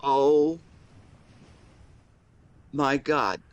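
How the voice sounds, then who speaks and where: A man acts out a shy young man baring his heart to his best friend in a romantic audio drama. His medium-pitched voice moves slowly and haltingly. The delivery is intimate, earnest and full of feeling. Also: smooth, dry recording. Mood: overwhelmed, anguished. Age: young adult.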